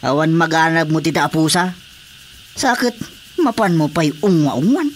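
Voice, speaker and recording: A man's voice: a little high, unhurried, lightly acted. A man playing a disgruntled, jealous husband who grumbles and complains in an Ilocano-accented radio drama comedy. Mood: bickering. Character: disgruntled husband jealous of his wife's cat. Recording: clearly noisy radio drama recording.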